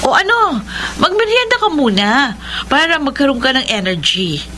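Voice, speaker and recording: A woman's voice: sits high, very animated, moderate pace, sharp tone, slight background noise, exaggerated voice. An actress playing a gossipy, teasing female coworker in a Filipino radio drama, chatty and exclamatory, with a Filipino accent.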